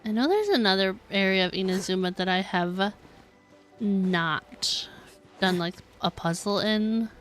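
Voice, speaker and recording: A woman's voice: medium pitch, expressive, a little slow, soft background music. A woman game streamer giving chatty, informal, self-deprecating live commentary as she plays. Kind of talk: casual live gameplay commentary.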